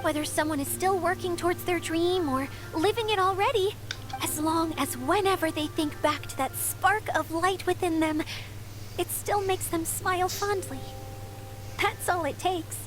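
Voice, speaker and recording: A woman voicing a gentle, wistful water fairy in a video game, reflective and soft-hearted as she tells her story. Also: very high-pitched, normal speed. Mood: tender, hopeful. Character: gentle, wistful female water fairy, lonely but content. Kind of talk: scripted video game voice acting. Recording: game music behind the voice.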